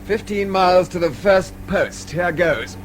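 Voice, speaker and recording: Casting: clipped English fugitive, wry and resourceful, lightish higher register, radio drama with some background noise. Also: male voice, a little slow. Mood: brisk, wry. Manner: clipped, wryly understated. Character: brisk, dry-witted Englishman on the run, resourceful. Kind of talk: BBC radio drama acting.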